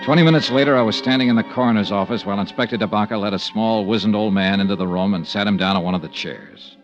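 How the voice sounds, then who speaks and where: An actor playing a hardboiled, world-weary American investigator, terse in speech, in old radio detective drama style. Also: male voice, lowish voice, fast talker, soft background music, close to an everyday voice. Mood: wry, reflective. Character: hardboiled, sardonic male insurance investigator narrating his case. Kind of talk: 1950s radio detective drama, first-person narration. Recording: dull, lo-fi old radio recording.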